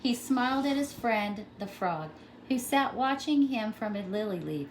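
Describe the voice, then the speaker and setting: A woman's voice: fairly high pitch, animated, measured pace, a little hiss or hum, low-quality recording. A woman pre-K teacher reading a storybook aloud to young children, expressively and with simple explanations.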